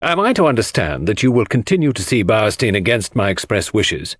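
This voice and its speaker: A man voicing an angry, wounded gentleman husband, indignant and pleading, in an audiobook. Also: on the low side, sing-song, brisk, dry recording, clean studio sound.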